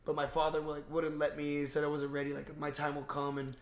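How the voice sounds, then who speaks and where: Higher-voiced man chatting in an echoey room. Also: monotone. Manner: halting, informal, mild swearing. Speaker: podcast co-host. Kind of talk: casual movie discussion.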